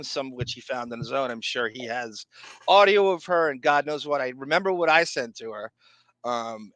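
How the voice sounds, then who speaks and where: A man's voice: medium pitch, bright, forceful tone, no room sound, low-quality recording. A man co-hosting a podcast in casual chat, joking and swearing, often stammering and restarting. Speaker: podcast co-host.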